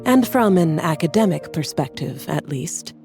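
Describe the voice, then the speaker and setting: A woman's voice: low voice, lively intonation, moderate pace, over music. A woman playing a composed, intellectual scholar in a video game, speaking in a formal, academic way. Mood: wry acceptance. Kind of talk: scripted video game voice acting.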